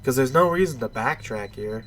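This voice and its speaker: A man's voice: mid-range voice, lively intonation, moderate pace, fairly bright tone, slight background noise. A man with a stuffy, nasal voice giving casual, rambling, unscripted commentary while playing a video game. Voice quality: nasal, congested. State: stuffy nose.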